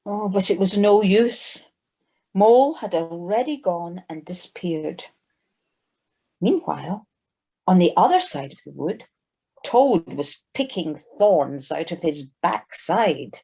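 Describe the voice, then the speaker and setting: Female storybook narrator: mellow, slightly husky, taking the script slowly. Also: lowish voice, expressive.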